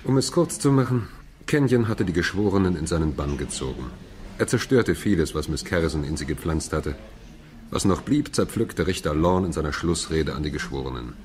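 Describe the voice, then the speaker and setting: An actor playing a reflective first-person narrator, giving scripted dramatic narration of a courtroom thriller over background noise. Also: male voice, extremely low pitch, animated, fairly quick, darkish tone. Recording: clear background ambience noise.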